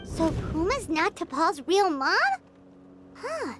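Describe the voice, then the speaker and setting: A woman voicing a tiny, childlike fairy companion in a video game, naive and curious, with a squeaky voice. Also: sing-song, slow pace, heavy background noise, exaggerated voice. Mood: puzzled. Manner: speaks of herself in third person. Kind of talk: animated video game voice acting.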